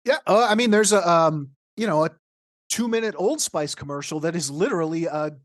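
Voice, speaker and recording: A woman's voice: on the low side, expressive, brisk, no echo. Casual woman podcast host, dry close sound. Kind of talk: casual conversational commentary.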